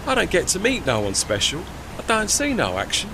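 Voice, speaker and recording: An actor voicing a chummy, unschooled working steamboat in an audio play, blunt and colloquial, with a London Cockney accent. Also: male voice, high voice, huge pitch swings, a little fast, gravelly, background noise, exaggerated voice. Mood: curious, envious. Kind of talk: audio drama dialogue.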